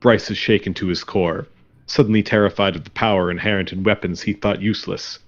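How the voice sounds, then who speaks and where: Male narrator for stage directions, low-pitched, deadpan, slightly lo-fi recording. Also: a little fast.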